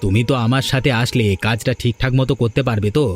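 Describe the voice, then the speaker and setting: A man's voice: mid-range voice, steady tone, quick pace, noisy background, natural voice. A man voicing a vengeful, scheming village fisherman who plots a beating in a cartoon. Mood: plotting. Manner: plotting, menacing. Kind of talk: cartoon voice acting.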